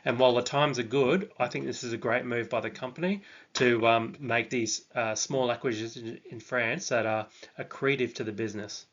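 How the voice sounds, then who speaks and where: A man's voice: mid-range voice, moderate pace, fairly bright tone, muffled recording. A man hosting his own channel, talking through a stock analysis in a casual, hesitant way with many ums and restarts.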